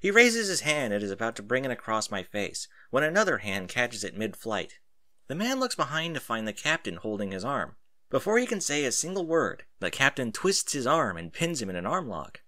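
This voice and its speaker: A man narrating a first-person story in an animated audiobook style. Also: mid-range voice, normal speed, no room sound, studio quality.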